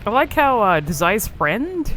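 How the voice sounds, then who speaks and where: A woman's voice: mid-range voice, very animated, unhurried, fairly bright tone, a little hiss or hum. A woman giving casual, off-the-cuff commentary on a video game in a rambling, informal, amused manner.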